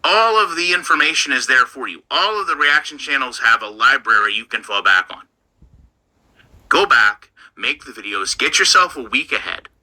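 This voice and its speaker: Bright-voiced man giving blunt commentary. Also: mid-range voice, rough recording. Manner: blunt, lecturing. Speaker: commentary channel host. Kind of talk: scripted commentary.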